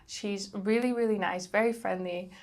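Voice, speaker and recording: A woman's voice: medium pitch, steady tone, moderate pace. A young woman from the Netherlands with a Dutch accent, chatting casually to camera as a travel vlogger, with frequent ums and likes.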